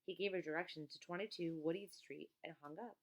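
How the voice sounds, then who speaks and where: Female children's audiobook narrator, slightly low voice with noticeable creak. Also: steady tone, fairly quick, slight background noise. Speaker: audiobook reader. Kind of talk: scripted narration of a children's story.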